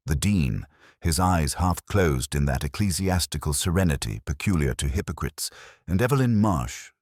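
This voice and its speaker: A man reading a first-person detective mystery as narrator, in a measured, brooding and deliberate way. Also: a bass voice, no echo, studio quality.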